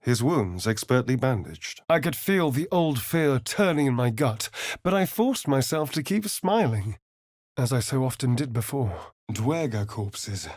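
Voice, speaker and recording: A man's voice: medium pitch, lively intonation, unhurried, darkish tone, no echo, studio quality. An actor voicing a sly, vain, sardonic male vampire spawn in a video game, with an arch, theatrical drawl. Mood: wary, pensive.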